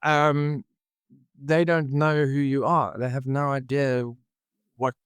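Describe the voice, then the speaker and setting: A man's voice: mid-range voice, little pitch movement, takes it slowly, clear, resonant tone, dry recording. A South African man with a South African accent, talking reflectively as a podcast guest and often searching for his words. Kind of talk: reflective conversational interview.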